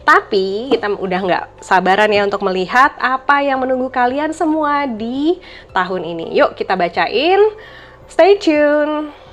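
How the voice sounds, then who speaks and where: A woman's voice: high voice, lively intonation, unhurried. A woman reading tarot cards for viewers in a casual, reflective way, with many fillers and a slightly blocked nose from a cold. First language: Indonesian. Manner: gentle, reflective, with frequent fillers. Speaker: tarot reader.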